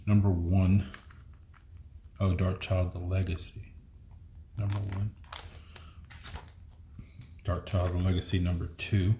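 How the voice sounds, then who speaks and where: A man's voice: low-pitched, little pitch movement, takes it slowly, long pauses, warm tone. A middle-aged man sorting through old comics at a table, reading out issue numbers and muttering comments as he goes. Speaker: comic collection unboxing host. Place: indoor room at a table. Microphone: fixed camera across the table. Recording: echoey room, faint noise.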